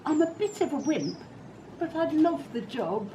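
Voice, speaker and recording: A woman voicing a timid, easily frightened male cook in an outdoor storybook performance, stammering and squeaking with panic. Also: big pitch swings, a little slow, mellow, dull audio, slight background noise. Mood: scared. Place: back garden outdoors.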